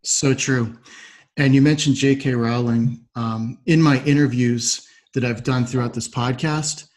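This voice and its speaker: A man's voice: medium pitch, fairly even intonation, measured pace, darkish tone. Man hosting a conversational podcast. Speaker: podcast host. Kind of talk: conversational interview.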